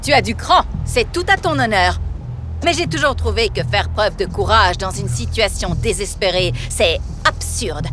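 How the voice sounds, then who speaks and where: A woman voicing a pompous, condescending villainess who runs an evil corporation in an animated cartoon. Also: mid-range voice, normal speed, natural voice. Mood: cold condescension. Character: haughty, menacing villainess, boss of an evil corporation. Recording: loud background music.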